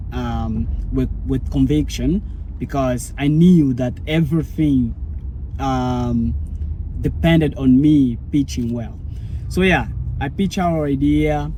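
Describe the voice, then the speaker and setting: A man's voice: medium pitch, fairly even intonation, takes it slowly, mellow, a little hiss or hum, low-quality recording. A man whose first language is Portuguese, a startup founder telling his story in a rambling, casual vlog. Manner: rambling, searching for words.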